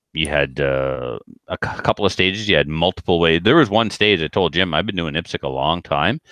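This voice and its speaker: Very deep-voiced man chatting casually. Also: fairly bright tone, clean tone, dry recording, clean studio sound. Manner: self-deprecating. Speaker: podcast co-host and competitive shooter. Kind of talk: casual chat, telling anecdotes.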